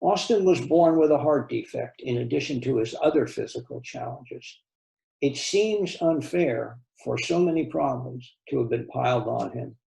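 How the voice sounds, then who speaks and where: A man's voice: medium pitch, normal speed, vocal fry, warm tone, echoey room, rough recording. A man reading a novel aloud as a volunteer story reader, in scripted first-person narration.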